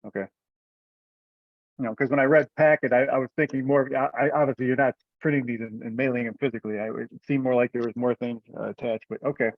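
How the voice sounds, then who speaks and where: A man's voice: medium pitch, steady tone, quick pace, no room sound. A man chairing a group teleconference in a conversational, somewhat rambling and hesitant way. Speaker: meeting chair. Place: remote group conference call. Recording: dull, lo-fi conference call audio.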